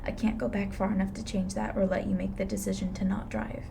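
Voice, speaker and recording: A woman playing a blunt, weary, reluctantly soft-hearted reaper in an intimate ASMR roleplay, dry and matter-of-fact. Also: mid-range voice, very animated, fast talker, vocal fry, a little hiss or hum. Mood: firm, instructing.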